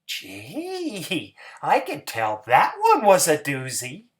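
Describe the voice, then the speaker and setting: A man voicing a tired, gentle husband with a subdued, plain manner in a dramatic story reading. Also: a little high, wildly swooping pitch, takes it slowly, heavily acted. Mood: weary, tender.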